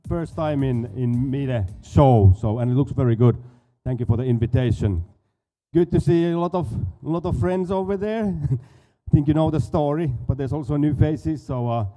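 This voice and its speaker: A man's voice: fairly high pitch, moderate pace, dark tone, faint music behind. A Finnish-accented man in his forties giving a casual expo stage talk, often stumbling and repeating words. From Finland. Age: 40s. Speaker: conference speaker, dive school owner.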